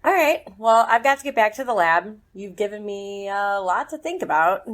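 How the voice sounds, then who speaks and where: A woman's voice: mid-range voice, lively intonation, normal speed, a bit bright, natural voice. A busy female academic in an audio drama, played by a woman. She talks in a middle register, chatty and candid, with real enthusiasm for her work. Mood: fascinated.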